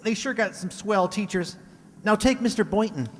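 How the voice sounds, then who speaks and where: A man's voice: high voice, normal speed, in a large hall, slight background noise, close to an everyday voice. A man playing an eager, talkative teenage schoolboy in a radio comedy, earnest and gushing. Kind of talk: 1940s radio comedy acting.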